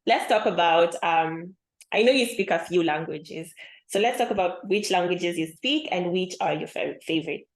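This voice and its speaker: A young woman hosting a podcast, chatting casually with a friend, reflective and quick to laugh. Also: fairly low pitch. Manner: affirming, laughs easily, reflective. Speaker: podcast host. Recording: roomy echo.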